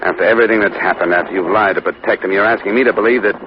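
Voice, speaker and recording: Brisk, insistent American investigator, played by a male actor, rapid delivery, vintage radio drama with steady noise. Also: on the low side, natural voice. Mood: demanding, stern. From United States. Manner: clipped, insistent, hard-boiled. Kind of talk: 1950s radio drama acting. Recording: dull, noisy old 1950 radio recording.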